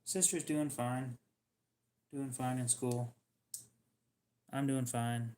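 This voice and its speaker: A man hosting a livestream in casual chat, talking in a dry, monotone, subdued way that sounds depressed to him. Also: medium pitch, slight background noise. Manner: dry, self-deprecating, unhurried. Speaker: livestream host.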